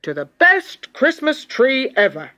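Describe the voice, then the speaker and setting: A woman voicing hearty, jolly men in a storybook, with a booming, exclamatory delivery. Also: big pitch swings, unhurried.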